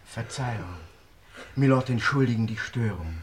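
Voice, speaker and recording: Manor servant, male actor, deferential and proper, fairly low voice, slightly noisy vintage radio recording. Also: expressive, moderate pace, close to an everyday voice. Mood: apologetic, urgent. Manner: deferential, very formal. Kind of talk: 1950s German radio play acting.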